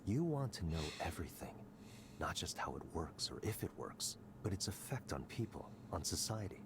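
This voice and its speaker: An actor playing a smug, calculating tech executive in a video game, speaking in a smooth, condescending, deliberate way. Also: male voice, deep voice, big pitch swings, background noise. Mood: persuasive, calculating. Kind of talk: scripted visual novel dialogue.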